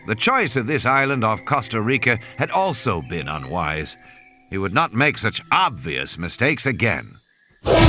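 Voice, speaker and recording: Male audiobook narrator, animated and forceful delivery of scripted fiction, faint music behind. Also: medium pitch. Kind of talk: scripted dramatic novel narration. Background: music and sound effects.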